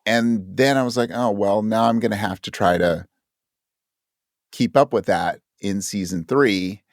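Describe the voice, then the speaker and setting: A man's voice: fairly low pitch, a little slow, dry recording, studio quality. A man speaking as a writer guest in a casual interview, candid and thinking aloud, often acting out his own thoughts as quotes. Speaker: guest audio drama writer.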